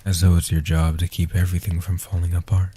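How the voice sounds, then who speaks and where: A man playing an ancient, protective male vampire in a soft-spoken comfort roleplay, speaking in a breathy, hushed, deliberate and soothing way. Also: sits low, level tone, lightly acted. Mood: tender, reassuring. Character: ancient male guardian vampire, protective, tender, world-weary.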